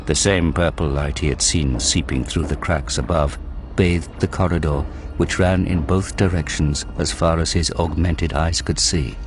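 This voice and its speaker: A man narrating a war story in a grave, deliberate, dramatic scripted style. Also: extremely low pitch, steady tone, background noise. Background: prominent dramatic music.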